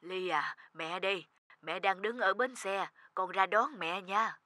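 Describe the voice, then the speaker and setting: A woman's voice: mid-range voice, measured pace, pushed and bright, no room sound, studio quality. A woman voicing a kindly, polite and grateful mother in a cartoon. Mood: gentle, grateful. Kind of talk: cartoon voice acting.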